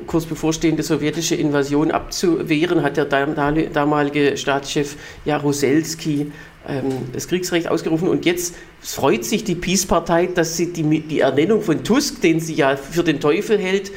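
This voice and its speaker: A man's voice: a little high, normal speed. A man from Germany co-hosting a political podcast, explaining news at length with many fillers and restarts. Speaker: podcast co-host. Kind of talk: casual political chat.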